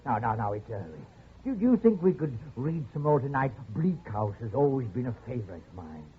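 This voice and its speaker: An actor playing an old jungle recluse in a radio drama, softly evasive and stubborn, with a hesitant, stammering delivery. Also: male voice, mid-range voice, sing-song, a little fast, breathy edge. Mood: mildly evasive. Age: older. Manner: stammering, hesitant, mild-mannered. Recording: noisy, tinny vintage radio recording.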